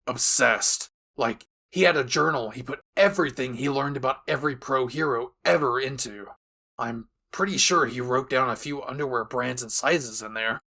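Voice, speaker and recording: A man playing a gruff, blunt, short-tempered adult male hero in an anime audio roleplay, sarcastic and menacing. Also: mid-range voice, bright, forceful tone.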